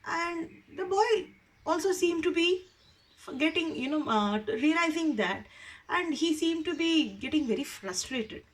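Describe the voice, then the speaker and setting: A woman with an Indian accent telling a story informally, in a conversational, explanatory way with expressive emphasis. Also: extremely high pitch, unhurried, recorded from a distance, poor audio.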